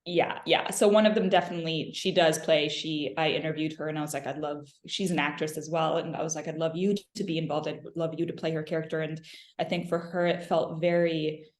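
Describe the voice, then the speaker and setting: Low-voiced woman in an echoey room. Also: fairly even intonation, a little fast. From Lebanon. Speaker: film director being interviewed. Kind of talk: conversational interview answers. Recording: roomy, echoing interview sound.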